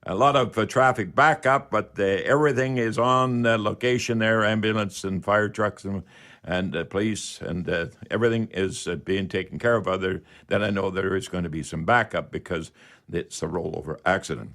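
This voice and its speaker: A man's voice: lowish voice, normal speed, a bit bright. A man hosting a live call-in radio show in a folksy, repetitive patter.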